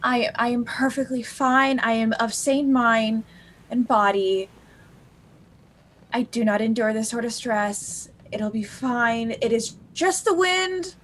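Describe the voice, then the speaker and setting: A shaken lady passenger, acted by a woman in a tabletop game, with a fairly high voice. She talks in nervous, halting bursts, anxiously reassuring herself. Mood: nervous denial. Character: shaken passenger anxiously insisting all is fine.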